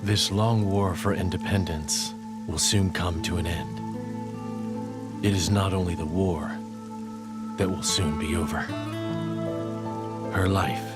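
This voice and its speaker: An actor playing a stoic, weary warrior in a video game, speaking with grave, measured solemnity. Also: male voice, low-pitched, fairly even intonation. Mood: solemn, resigned. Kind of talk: dramatic video game voice acting. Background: music.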